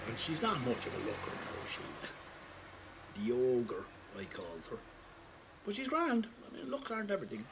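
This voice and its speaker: An actor playing an older Irish pensioner, roguish and callous, telling a rambling, sweary, wry anecdote in a casual dramatic monologue. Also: male voice, mid-range voice, huge pitch swings, normal speed, fairly bright tone, a little hiss or hum. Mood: wry, amused. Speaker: storyteller.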